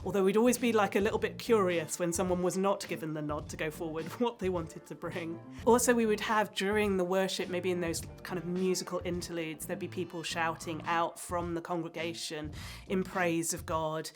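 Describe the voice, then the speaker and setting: A woman from South Wales with an English accent, a church team member sharing warm, reflective stories in a casual way. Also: fairly low pitch, steady tone, moderate pace, soft background music.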